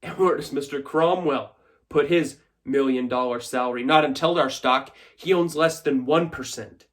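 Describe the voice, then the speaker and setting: A man playing a brash, confident corporate raider in a theatrical film monologue, giving an emphatic speech with deliberate dramatic pauses. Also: mid-range voice, lightly acted. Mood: indignant, forceful.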